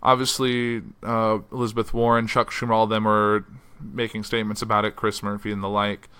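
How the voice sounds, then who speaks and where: A man runs his own news podcast from home. He talks quickly with a bright, forward tone, and his voice sounds a little worn and hoarse. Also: on the low side. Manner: reads quotes in a flat newsy voice, then chats loosely with fillers. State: losing his voice. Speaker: podcast host. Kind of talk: solo news podcast, reading articles aloud. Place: house.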